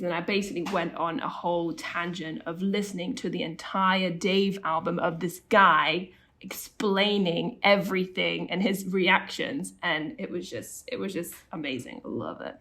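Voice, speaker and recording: A woman's voice: fairly low pitch, steady tone, unhurried, a bit bright. A woman in casual podcast chat, rambling and going off on tangents. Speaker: podcast co-host.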